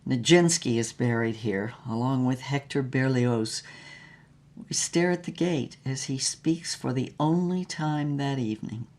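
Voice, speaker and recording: A woman's voice: a bass voice, unhurried, darkish tone. A woman playing a wistful, heartbroken former actress who narrates her lost love in an audio drama with dramatic, lingering melancholy. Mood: tender, sorrowful.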